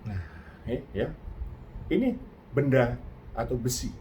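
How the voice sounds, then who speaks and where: An older Indonesian man giving a casual reaction talk, reflective and earnest, pausing and restarting often. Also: medium pitch, big pitch swings, noisy background. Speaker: host.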